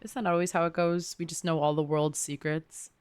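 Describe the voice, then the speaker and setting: A woman's voice: sits low, level tone, fairly quick, clear, resonant tone, no room sound. A young woman game streamer giving casual, slangy live reactions in a chatty way. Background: game music.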